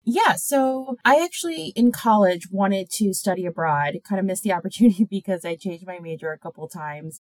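A woman's voice: deep voice, no echo, low-quality recording. An American woman in her thirties, a podcast guest, telling her story in a reflective way with light laughs. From United States. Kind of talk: casual podcast conversation.

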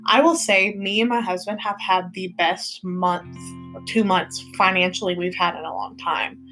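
A woman's voice: fairly low pitch, steady tone, moderate pace, over music, poor audio. A woman, co-hosting a faith podcast, chatty and affirming. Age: 20s. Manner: affirming, chatty, frequent agreement. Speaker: podcast co-host. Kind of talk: casual faith podcast chat.

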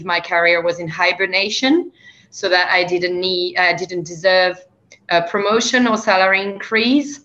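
A woman's voice: mid-range voice, fairly bright tone, highs cut off. A woman from France with a French accent, a guest in a casual interview, speaking with many hesitations. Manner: hesitant, many ums and uhs.

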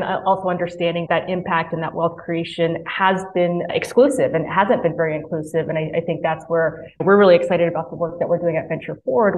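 Earnest woman on a tinny call line. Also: on the low side. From Mississippi. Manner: earnest, with frequent restarts. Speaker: guest. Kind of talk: podcast interview.

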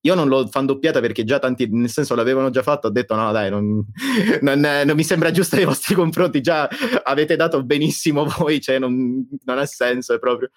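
A man chatting casually as a guest fan dubber, halting and often correcting himself, with music behind him. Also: medium pitch, dry recording. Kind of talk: casual chat. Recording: dry sound with music behind.

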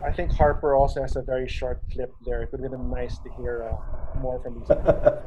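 A man conducting a casual interview in a measured, slightly halting way. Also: mid-range voice, level tone, mellow, muffled recording, a little hiss or hum.

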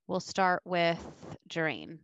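A woman co-hosting a podcast, giving a clear, informative explanation and asking questions. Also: lowish voice, big pitch swings, slow pace, fairly bright tone, dull audio, slight background noise. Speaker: podcast co-host.

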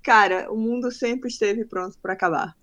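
Woman, faint northern Brazilian accent, podcast guest, lively storytelling, mid-pitched. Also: moderate pace. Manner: storytelling, acts out quoted people. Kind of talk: casual interview chat.

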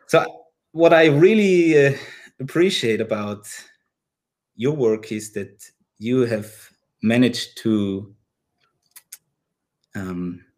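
The host of a hobbyist dog-training podcast, a German man with a middle-pitched voice, feeling his way through English questions with hesitant restarts. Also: animated, slow, long pauses, a little mellow. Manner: hesitant, searching for words, repeats sentence starts. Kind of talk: casual interview.

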